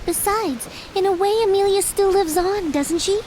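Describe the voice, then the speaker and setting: A woman voicing a kind, calm fourteen-year-old girl in a game cutscene, speaking gently and earnestly. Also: extremely high pitch, normal speed. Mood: consoling. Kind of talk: video game cutscene dialogue. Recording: hissy game audio capture.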